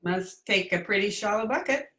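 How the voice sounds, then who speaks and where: A woman playing a wry, wisecracking high school English teacher and narrator in an old-time radio comedy, dry and sardonic, on a noisy remote recording. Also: lively intonation, normal speed, bright, forceful tone. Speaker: lead character and narrator.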